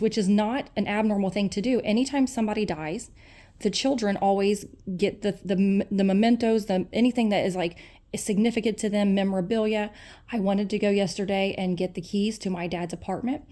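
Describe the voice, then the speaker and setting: A woman's voice: mid-range voice, mellow. An adult woman making her case in a personal phone argument. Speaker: private caller in a family dispute.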